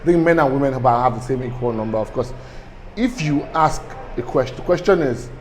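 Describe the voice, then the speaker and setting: A man giving a considered opinion in a measured, articulate way. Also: medium pitch, a little hiss or hum. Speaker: interview respondent.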